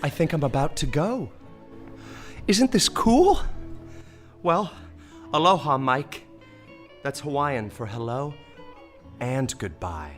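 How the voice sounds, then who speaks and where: A man playing a gentle, childlike, euphoric dying saint in a comic audio play, wondering and tender. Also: fairly high pitch, sing-song, takes it slowly, long pauses, soft background music. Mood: fading, tender.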